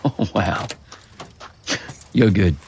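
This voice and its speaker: A man's voice: fairly low pitch, drawn-out words, noisy background. An actor playing a tired, guarded young man with an Australian accent in a naturalistic audio drama. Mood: defensive, mocking. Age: young adult. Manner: dry, sarcastic, defensive.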